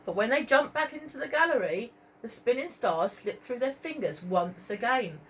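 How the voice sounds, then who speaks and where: A woman reading a story aloud to young children as a teacher, expressively, pausing to point out pictures and ask questions. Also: a little high, expressive, a little hiss or hum. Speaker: primary school teacher.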